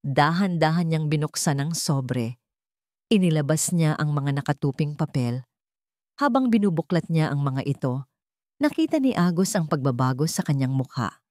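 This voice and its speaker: Low-voiced woman narrating a drama, dry sound. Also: animated, moderate pace, mellow, dry recording. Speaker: story narrator. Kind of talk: dramatic scripted story narration.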